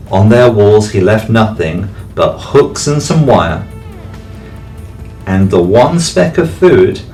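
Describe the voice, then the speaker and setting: A man, a teacher, reading a rhyming bedtime story aloud with expressive delivery. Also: lowish voice. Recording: distant mic, faint background music.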